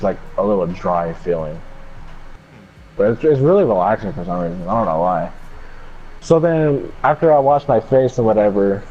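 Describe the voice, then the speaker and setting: A man's voice: fairly low pitch, mellow. A young man telling a personal story casually in a laid-back, slangy way with some swearing. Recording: dull lo-fi mic with background noise.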